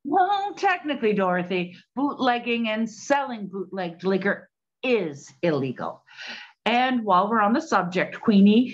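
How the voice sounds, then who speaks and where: A slightly muffled recording of a brisk 1920s lady detective played by a woman. Her voice sits on the high side, businesslike and peppered with period slang. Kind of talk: 1920s period audio drama acting.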